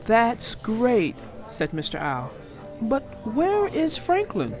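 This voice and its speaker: A woman narrating a read-aloud story for young children with deliberate, emphatic phrasing. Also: medium pitch.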